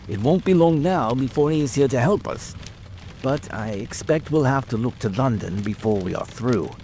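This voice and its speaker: A man's voice: medium pitch, expressive, normal speed, mellow, slight background noise, natural voice. A man voicing a plain-spoken, puzzled country police sergeant in an audiobook drama, blunt and folksy. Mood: resigned.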